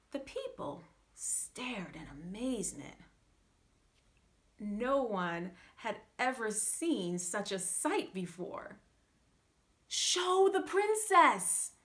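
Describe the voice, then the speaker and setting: A woman reading a fairytale aloud as a children's storyteller, with theatrical, drawn-out emphasis. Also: medium pitch, a bit bright. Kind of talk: scripted fairytale narration for children.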